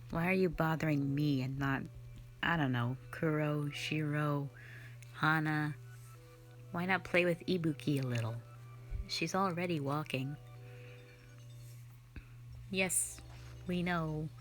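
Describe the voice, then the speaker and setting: Actress as a nonhuman female slayer, sardonic and dismissive; low, unhurried delivery for an audio drama. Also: faint music behind. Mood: teasing. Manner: dismissive, mocking, blunt.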